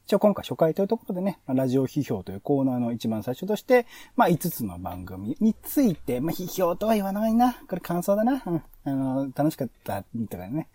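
A man's voice: fairly high pitch, very animated, a little fast, hardly any pauses, dark tone, no room sound. A man hosting a podcast in casual review chat, chatty and thinking aloud as he goes. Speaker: podcast host.

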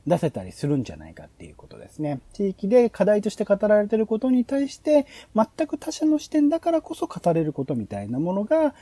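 Casting: male podcast host, very soft dark tone with lively intonation, talking through concepts in a casual meeting. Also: sits high, brisk, hardly any pauses, no echo. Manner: explanatory, thinking aloud. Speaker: podcast host presenting program ideas. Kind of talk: casual planning-meeting talk.

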